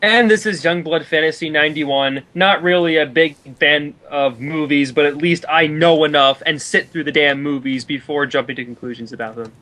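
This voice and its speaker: Slightly high-voiced man giving halting critical commentary. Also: pushed and bright. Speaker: guest commentator. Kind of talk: casual commentary.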